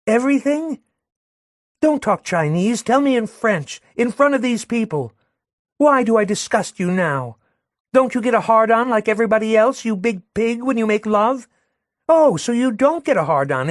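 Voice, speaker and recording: A man's voice: dry recording, studio quality. A man voicing a furious young working-class woman who rails and jeers with scorn. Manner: shrill, jeering, railing.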